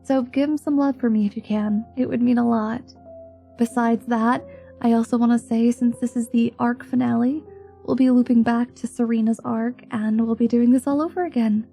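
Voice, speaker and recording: A woman's voice: fairly high pitch, steady tone, normal speed, vocal fry, mellow, soft background music. A woman channel host chatting casually and earnestly to her listeners, with an appreciative manner.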